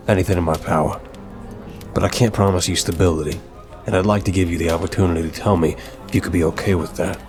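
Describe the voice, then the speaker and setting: A man's voice: low-pitched, a little mellow, natural voice. A man playing an apologetic, flirtatious mafia boss who talks to a date in a teasing, earnest, affectionate way, in an ASMR roleplay. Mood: earnest, solemn.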